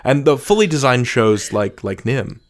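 A man's voice: mid-range voice, very animated, moderate pace. A man hosting a podcast interview in a casual, thoughtful way, building his questions at length.

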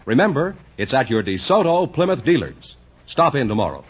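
An American man works as a vintage radio announcer. His husky voice rises and falls boldly as he delivers lines like a 1950s advertisement. The recording sounds dull. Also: mid-range voice, big pitch swings, fairly quick.

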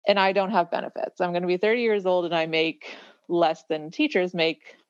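This is a woman around thirty, a guest on a casual podcast, with a notably low voice. She talks reflectively and builds her thoughts through repeated phrases. The recording is dry and a little muffled. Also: a little fast, clean tone, no echo.

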